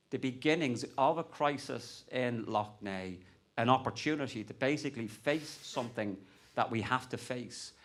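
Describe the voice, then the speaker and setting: A man who works as an academic, speaking on a conference panel in an impassioned, rhetorical and urging way. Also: mid-range voice. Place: conference hall.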